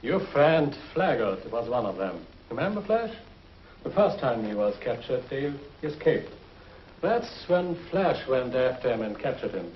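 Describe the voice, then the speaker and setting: A man's voice: medium pitch, very animated, normal speed, a little hiss or hum, natural voice. A man playing a stern prison warden. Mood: grave. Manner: grave, matter-of-fact. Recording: roomy, lo-fi 1950s TV audio.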